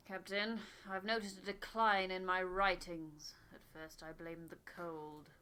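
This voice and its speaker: A woman's voice: lowish voice, little pitch movement, unhurried, pushed and bright, clean tone. A woman co-commentating on a video game playthrough, reading dialogue aloud and chatting about it.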